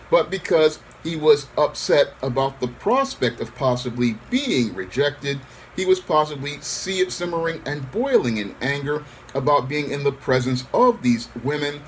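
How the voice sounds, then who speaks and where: A man's voice: a little high, a little hiss or hum, rough recording. A man giving solo opinion commentary on news, speaking emphatically and repeating his phrases.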